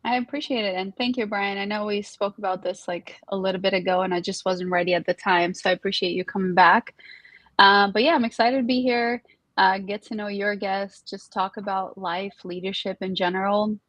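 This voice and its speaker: Woman, podcast co-host, friendly chatter, dry close sound, mid pitch. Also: fairly even intonation, brisk, fairly bright tone, dry recording. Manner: friendly, chatty with filler likes. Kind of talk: casual chat.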